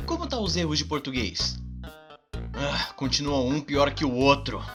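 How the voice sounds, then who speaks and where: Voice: medium pitch, animated, moderate pace, a bit bright, close to an everyday voice. A performer voicing a cocky, theatrical cartoon fantasy character. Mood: annoyed. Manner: sarcastic, theatrical, exaggerated. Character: Asriel, cocky sarcastic male animated fantasy character answering fan questions. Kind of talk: animated comedy question-and-answer show. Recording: background music under the voice. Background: music.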